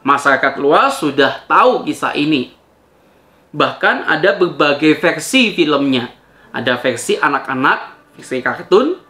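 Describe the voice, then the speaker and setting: A man's voice: fairly high pitch, sharp tone. A man hosting a video, talking conversationally to viewers as he gives commentary and poses rhetorical questions. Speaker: video host. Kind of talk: spoken rebuttal commentary to viewers.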